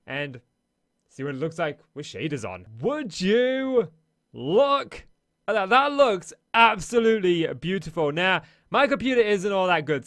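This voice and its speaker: Guy with a high voice doing chatty game commentary, bit hoarse, dry close sound. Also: a bit bright, dry recording. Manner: chatty, narrates each action as he plays. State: slightly hoarse, losing his voice. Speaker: Minecraft let's play host. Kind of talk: casual gameplay commentary.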